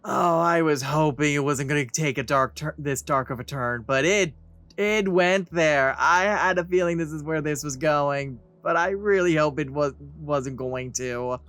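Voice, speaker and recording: A man's voice: a little high, a little slow, fairly bright tone, dry recording. A man giving casual let's play commentary as he reacts to a video game.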